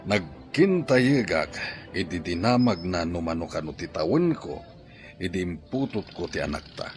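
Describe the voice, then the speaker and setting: A scheming, watchful man in a radio drama, speaking with a Filipino accent. His voice is deep and swings expressively, over faint music. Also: big pitch swings, moderate pace. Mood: suspicious, wary.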